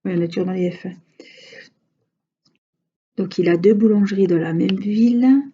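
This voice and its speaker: A woman's voice: medium pitch, moderate pace, mellow, breathy edge, muffled recording. A woman with a regional Franche-Comté accent chatting casually to her viewers while crafting, rambling with many hesitations. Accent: Franche-Comté French. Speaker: crafting vlogger.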